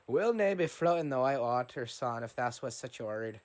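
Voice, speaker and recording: A man's voice: medium pitch, lively intonation, brisk, lightly acted. A man voicing a chatty, nosy old riverboat captain with a put-on brogue, rambling and tagging "you know" onto his sentences. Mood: jovial. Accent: put-on Scottish-like brogue.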